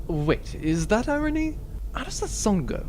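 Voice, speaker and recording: A man's voice: high voice, very animated, moderate pace, a little mellow, slight background noise. A man playing a cocky, glib spaceship captain in a grandiose, theatrical way for a comedic sci-fi audio drama. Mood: impatient, sardonic.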